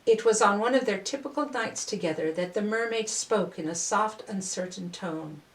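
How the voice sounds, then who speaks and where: An older woman reading a storybook aloud as a storyteller, with measured, deliberate phrasing. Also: medium pitch, distant mic. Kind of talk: scripted storybook narration. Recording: roomy, echoing voice recording.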